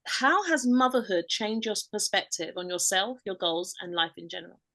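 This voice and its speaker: A woman's voice: mid-range voice, moderate pace. Woman hosting a podcast interview. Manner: warmly affirming, asks questions. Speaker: podcast host.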